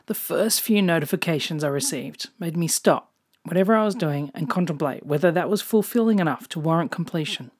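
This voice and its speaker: A podcast host with an Australian accent, reading a reflective personal monologue in a measured way with touches of dry humor. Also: medium pitch.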